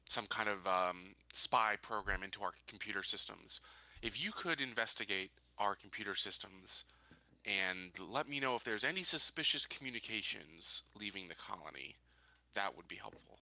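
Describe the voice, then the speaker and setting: A man plays a businesslike sci-fi colony security chief in a tabletop game. His voice is lowish and strikingly bright, and he speaks in a measured, deliberate way, pausing to think. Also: no room sound, low-quality recording. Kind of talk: improvised in-character tabletop roleplay.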